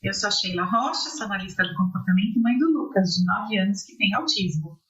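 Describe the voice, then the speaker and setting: A woman's voice: mid-range voice, animated, fairly quick, a bit bright. A woman hosting a video-call interview, speaking with frequent hesitations and fillers. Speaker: host. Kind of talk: interview chat. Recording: echoey dull-sounding room.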